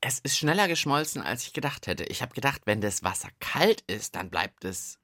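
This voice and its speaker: A man with a husky voice that swings widely in pitch chats as co-host of a children's science show. His delivery is casual and unscripted, with hesitant muttered asides. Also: very animated, moderate pace, pushed and bright.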